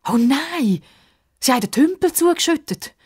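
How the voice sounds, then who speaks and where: Woman as a high-voiced, feisty little girl. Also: lively intonation, moderate pace, darkish tone. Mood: panicked. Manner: exclaiming, emphatic, childlike. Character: feisty, stubborn young girl, excitable and anxious. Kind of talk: children's radio story character acting.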